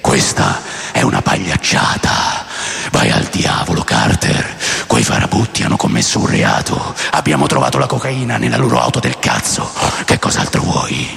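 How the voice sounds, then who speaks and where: Man acting a gravelly, furious police chief. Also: on the low side, very animated, moderate pace, a bit bright, long reverb tail, full character voice. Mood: scornful, menacing. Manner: menacing, cursing. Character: furious foul-mouthed police chief, threatening and scornful. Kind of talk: radio drama acting.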